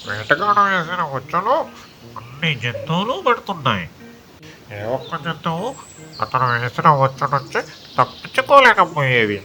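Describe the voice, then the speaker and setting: A male storyteller narrates for children in a bright, forceful voice. His pitch is fairly high and moves in lively swings, with music behind him. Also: normal speed.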